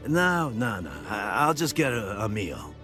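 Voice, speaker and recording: A broke middle-aged veteran who wheedles and stumbles over his words. His fairly low voice rises and falls a great deal. Music plays clearly behind him. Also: sing-song. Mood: wheedling. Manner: wheedling, halting, stammers. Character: broke retired male soldier, wheedling and down on his luck.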